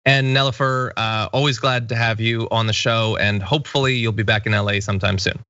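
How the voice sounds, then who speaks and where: Casting: American male host, thirties, crisp forceful delivery, mid pitch, close dry studio sound. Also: fairly even intonation, brisk, no echo, lo-fi sound. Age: 30s. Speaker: show host. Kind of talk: casual interview hosting.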